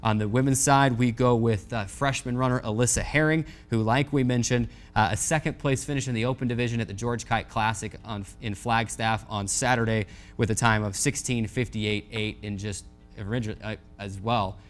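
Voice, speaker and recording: An American man presents a sports news rundown as the show's host. His voice sits in an average male pitch range, with music playing behind him. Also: fairly even intonation, moderate pace.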